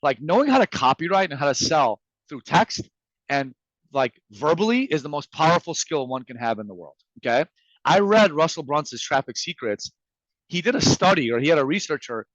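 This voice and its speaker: Energetic man with Farsi roots, dry sound. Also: a little high, fairly quick, no echo, lo-fi sound. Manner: energetic, emphatic, acts out quoted messages. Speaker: guest speaker. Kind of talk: motivational sales presentation.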